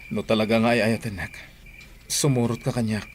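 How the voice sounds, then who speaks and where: An earnest, stubborn young lover with an Ilocano accent played by a man in a radio play. His voice is on the low side and insistent. There is faint noise and insect chirping behind him. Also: steady tone, a little fast, natural voice. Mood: urgent, pleading. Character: earnest, stubborn grown son in love. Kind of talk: radio drama acting.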